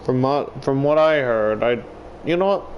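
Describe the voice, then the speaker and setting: A man giving casual, rambling game commentary, halting and swearing freely as he talks. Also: medium pitch, dull audio. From Australia. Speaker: YouTuber playing and reading a visual novel. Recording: clear steady air-conditioner background noise.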